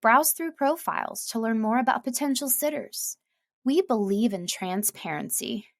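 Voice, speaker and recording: A woman delivering scripted voice-over narration as a voice artist, articulating clearly and reading in a measured way. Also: fairly high pitch, expressive, no echo, studio quality.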